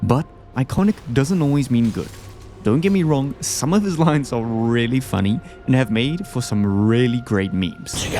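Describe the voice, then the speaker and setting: A man delivers casual, opinionated video commentary with a lively, animated pitch. He talks in an informal, slangy way like a host sharing his rankings. Music plays faintly behind him. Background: background music.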